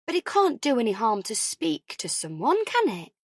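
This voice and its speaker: A woman's voice: a little high, huge pitch swings, no room sound, clean studio sound. Woman playing upbeat, reassuring older mum. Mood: upbeat coaxing. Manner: deliberately upbeat, coaxing. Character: caring, reassuring older mother, gently persistent, upbeat. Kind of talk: audiobook character dialogue.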